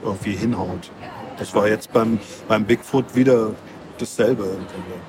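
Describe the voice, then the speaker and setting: A man's voice: on the low side, fairly even intonation, measured pace, background noise. A man interviewed as a comic creator, explaining things in casual, colloquial talk.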